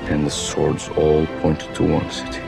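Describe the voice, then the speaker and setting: A grave, gravelly young sultan. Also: male voice, completely flat delivery, heavily acted. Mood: solemn. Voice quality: deep gravelly voice, slow and grave. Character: young male sultan recalling ancestral dream, solemn. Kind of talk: film narration. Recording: film audio over music.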